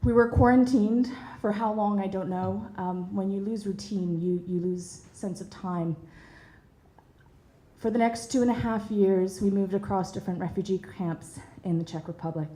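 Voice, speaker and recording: A woman in her thirties from Bosnia and Herzegovina tells a personal story, earnest and measured, with soft pauses and hesitations. Her voice is warm and mellow, and it rings a little in an echoey room. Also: medium pitch, fairly even intonation. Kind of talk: personal story told to an audience.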